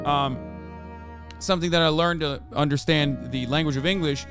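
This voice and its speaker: A man's voice: a little high, steady tone, normal speed, fairly bright tone, soft background music. A man whose first language is Turkish, hosting a casual live-stream news commentary with profane, sarcastic rants. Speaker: streamer host.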